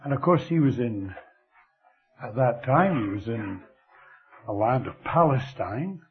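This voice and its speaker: An older man with a Scottish accent preaching a sermon, slow and expressive, letting his voice fall very quiet at the ends of phrases. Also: medium pitch. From Scotland. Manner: slow, lively delivery with dramatic drops to near whisper. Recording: thin phone-like radio broadcast.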